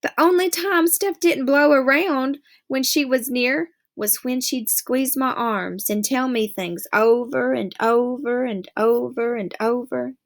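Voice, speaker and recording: A woman reading a novel aloud, telling the story in a steady first-person voice. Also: high voice, measured pace, hardly any pauses. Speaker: reader.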